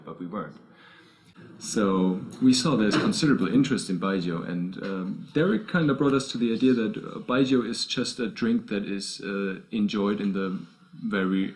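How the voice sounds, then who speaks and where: A man from Germany with a German accent, talking casually in an interview as a bar co-founder. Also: deep voice. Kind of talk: casual storytelling interview talk.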